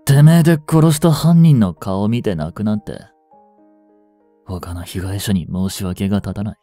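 A male actor plays a young policeman in mourning, tender and bitter. His low voice is dark and slightly husky, murmuring intimately as in a radio play. It sits close and dry over music. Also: moderate pace, no room sound, lightly acted. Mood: anguished tenderness. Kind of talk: Japanese audio drama monologue.

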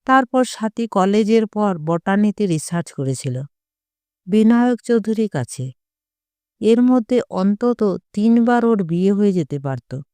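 A woman reading a literary short story as a scripted narration, in a measured, deliberate storytelling manner. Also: medium pitch, dark tone, clear, resonant tone, no room sound.

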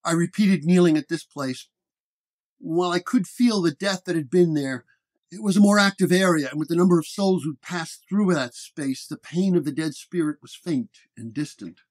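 A man reading a scripted first-person detective horror story in a measured storytelling manner. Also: on the high side, a little mellow. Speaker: narrator.

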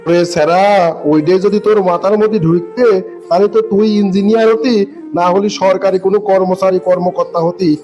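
High-pitched, smug corrupt official acted by a man. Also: steady tone, a little fast, dark tone, soft background music, lightly acted. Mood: smug, patronising. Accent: northern Bangladeshi. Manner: patronising, smugly explanatory. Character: corrupt, bossy male government river-dredging official. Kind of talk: comic cartoon dialogue.

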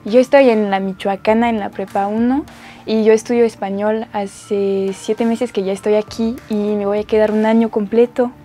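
A woman's voice: mid-range voice, steady tone, normal speed, dark tone. A young woman from France with a French accent, an exchange student telling her story in simple, searching phrasing. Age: young adult. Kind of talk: interview testimony. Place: studio set. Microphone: lapel.

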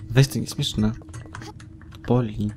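A man casually chatting while playing a game with a friend, teasing and saying "lol" out loud. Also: medium pitch, steady tone, slow pace, heavy background noise. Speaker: co-player. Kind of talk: casual let's-play chat.

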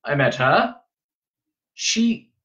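A man's voice: a little high, big pitch swings, extremely slow, bright, forceful tone, lo-fi sound, voice pushed up, heavily acted. A man voicing an earnest, troubled teenage boy of noble birth, questioning and a little hesitant.

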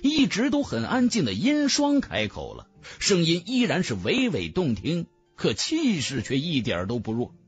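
A male audiobook narrator tells a fantasy tale with dramatic flair. His voice sits fairly high and moves very widely in pitch, with soft music behind him. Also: moderate pace, few pauses. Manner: dramatic, expressive storytelling. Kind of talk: scripted fantasy novel narration. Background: background music.

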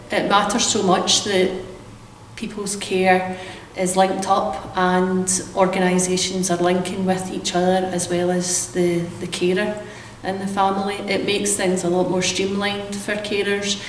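A middle-aged woman talks earnestly about her work, her voice held in a narrow, even pitch. She is heard from some distance through the camera's mic. The room adds a noticeable echo and a faint background hum. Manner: earnest, measured, with frequent restarts and fillers. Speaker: carers centre manager. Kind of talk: vox pop interview. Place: hotel room by a window, indoors.

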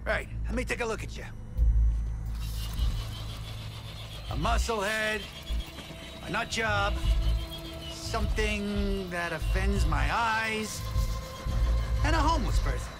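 A man's voice: high voice, animated, unhurried, long pauses, music in the background, rough recording. A man voicing a small, crude gerbil inventor in a video game, brash, cocky and insulting. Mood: sizing up, scornful. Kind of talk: video game cutscene acting.

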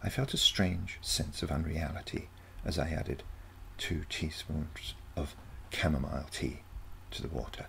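A man's voice: extremely low pitch, steady tone, a little slow, vocal fry, few pauses, a bit bright, slight background noise. A man reading a first-person short story as a scripted narration.

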